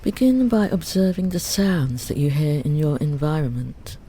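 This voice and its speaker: A meditation teacher gently guiding a Yoga Nidra relaxation, soothing and unhurried, with long pauses between phrases. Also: medium pitch. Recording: steady ambient noise under the voice. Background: ocean waves.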